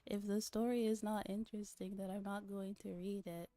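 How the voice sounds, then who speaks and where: A woman's voice: medium pitch, level tone, normal speed, dark tone, no room sound. A young woman livestreamer chatting casually to her viewers in an informal, rambling, teasing and giggly manner, on a close microphone.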